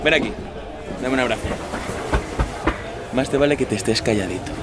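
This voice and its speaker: An actor playing a cocky, menacing neo-Nazi gang leader with an accent from Spain, coarse and swaggering, in an audio drama. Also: male voice, medium pitch, normal speed, close to an everyday voice. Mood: quiet threat. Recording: noisy crowded bar scene.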